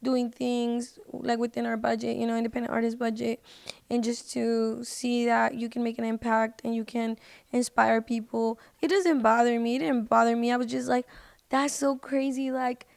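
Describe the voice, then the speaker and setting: A woman's voice: on the high side, flat delivery, moderate pace, vocal fry, a little mellow, no room sound. A woman chatting casually as a podcast guest, in an informal, slangy way. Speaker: podcast guest, singer and social media creator.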